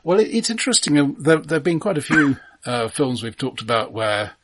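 A man co-hosting a casual film discussion, analytical, with a halting delivery that repeats the starts of words. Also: fairly high pitch, big pitch swings, a bit bright. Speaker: film podcast co-host.

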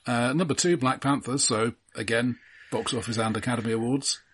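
A man co-hosting a film podcast, talking casually in a measured, hesitant way with dry understatement. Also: medium pitch, fairly even intonation, a little hiss or hum.

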